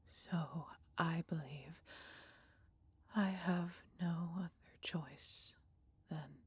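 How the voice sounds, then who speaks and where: A woman voicing a mighty female dragon, proud yet caring, with breathy, husky tones and archaic, theatrical phrasing in a fantasy audio roleplay. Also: low-pitched, drawn-out words, exaggerated voice. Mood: tender, sorrowful.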